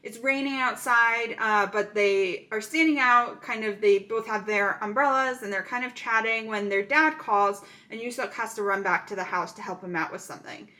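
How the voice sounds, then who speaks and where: A woman's voice: medium pitch, normal speed, few pauses, sharp tone. A woman casually recapping a thriller movie plot, chatty and full of "um" fillers.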